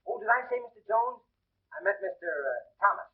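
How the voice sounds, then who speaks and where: A man playing a brash, quick-witted young wisecracker with glib patter in an old film comedy, on a muffled, tinny soundtrack. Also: high voice, big pitch swings. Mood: bluffing. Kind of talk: 1930s film comedy acting.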